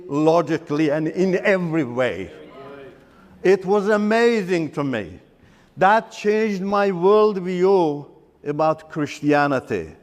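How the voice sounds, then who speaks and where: A middle-aged man from Iran with an Iranian accent, whose first language is Turkish, giving his personal testimony in an earnest, halting way with restarts. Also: fairly high pitch, in a large hall. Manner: earnest, halting with restarts, stresses key words.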